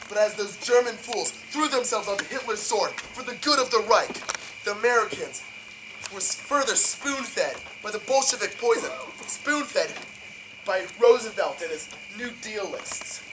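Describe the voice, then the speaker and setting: Man acting as grandiose game tycoon, contemptuous, defiant, emphatic orator, deliberate phrasing, clearly noisy recording. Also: very high-pitched, lively intonation. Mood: bitter, resolute. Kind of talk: dramatic video game monologue.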